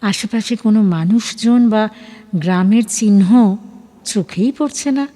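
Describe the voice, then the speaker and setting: A woman narrating an audio story in an expressive, dramatic scripted style that builds suspense. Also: mid-range voice, unhurried.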